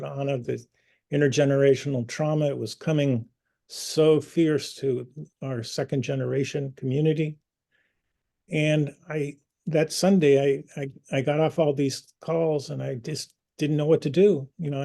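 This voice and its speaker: Guy hosting a video call, gravelly and kinda dark voice, earnest, lots of ums and restarts. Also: on the high side, fairly even intonation. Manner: earnest, personal, pausing with fillers and restarts. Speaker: community group host. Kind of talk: speaking to an online video meeting.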